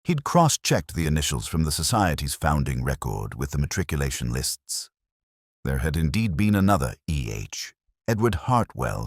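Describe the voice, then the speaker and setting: Very deep-voiced brooding detective played by a man. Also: no room sound, clean studio sound, full character voice. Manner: literary, measured, solemn. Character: brooding, weary male English police inspector, literary and melancholy. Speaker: narrator of a detective audio drama. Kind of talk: scripted first-person mystery narration.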